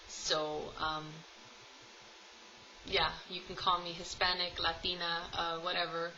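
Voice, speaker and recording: A woman's voice: on the low side, little pitch movement, takes it slowly, sharp tone, muffled recording, a little hiss or hum. A woman in her twenties chatting casually to camera at home, rambling with lots of ums. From United States. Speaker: vlogger. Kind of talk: casual tag video chat. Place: home room, at home with cats.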